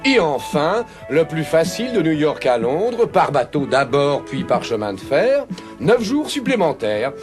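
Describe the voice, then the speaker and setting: A youngish, self-assured English gentleman from a cartoon, speaks courteously and precisely. His high voice moves in animated swoops, with music playing clearly behind him. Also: measured pace. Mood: precise, methodical. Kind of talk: dubbed cartoon acting.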